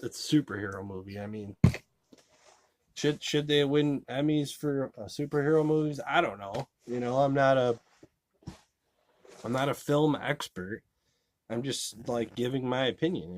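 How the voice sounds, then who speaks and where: A man's voice: medium pitch, a little mellow, no echo. A man giving a casual, rambling movie review, full of fillers like "you know" and restarts. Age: adult.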